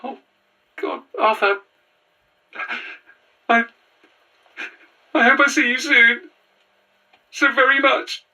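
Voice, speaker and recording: A woman's voice: sits high, fairly even intonation, slow pace, long pauses, bright, forceful tone, far from the mic, soft background music, full character voice. An actor, a woman, playing an anxious, earnest young fugitive in an audio drama, hesitant and stammering. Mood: distressed, pleading. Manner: hesitant, stammering, breathless phrasing. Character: anxious, earnest young adult on the run, haunted. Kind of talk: naturalistic audio drama acting.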